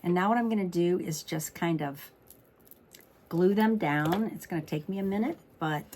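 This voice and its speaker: Woman, craft tutorial host, somewhat deep and a bit froggy, informal thinking-aloud delivery, light noise and clicks behind. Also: normal speed, darkish tone. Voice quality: slightly froggy. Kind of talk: chatty card-making demonstration.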